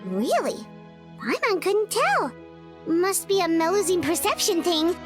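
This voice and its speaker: A woman's voice: sing-song, measured pace, soft background music, full character voice. A woman voicing a tiny, chirpy female fairy companion who talks about herself in the third person. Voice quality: high, bubbly, speaks of herself in third person. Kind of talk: video game voice acting.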